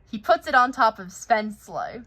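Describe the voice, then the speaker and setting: A woman reads a children's story aloud as its host. Her voice is bright and fairly high, with very animated intonation that follows the storytelling. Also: measured pace. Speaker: host reading a picture book aloud.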